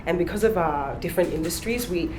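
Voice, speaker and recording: A woman's voice: lowish voice, quick pace, background noise. A middle-aged woman, a trainer, chatting earnestly on a panel and telling her own story. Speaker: guest trainer. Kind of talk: casual panel chat.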